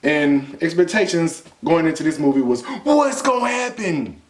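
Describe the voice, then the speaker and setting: A man's voice: on the high side, animated, normal speed. An American man in his thirties giving a casual, colloquial movie review as a vlog co-host, stressing points with short repeated phrases. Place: small indoor room with bare wall. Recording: echoey untreated room.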